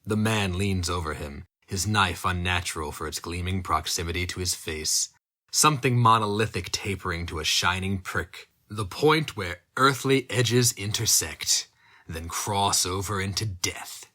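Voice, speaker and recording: A man performs a dark fantasy story as a dramatic reading. His delivery is slow and heavy, and his voice sits fairly low. The tone is bright and forceful. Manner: slow, brooding, intense delivery. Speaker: narrator.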